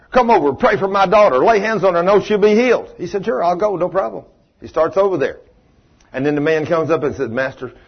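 Man, folksy preacher, husky voice, dull muffled recording. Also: medium pitch. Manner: folksy, plain-spoken, rising to emphatic preaching. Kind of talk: sermon with audience questions.

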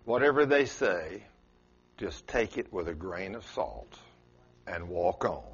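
A man's voice: big pitch swings, slow, slightly rough, dull audio. A man with a South Texas accent preaching a sermon in a folksy, emphatic way. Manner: folksy, emphatic, swings pitch up for stress.

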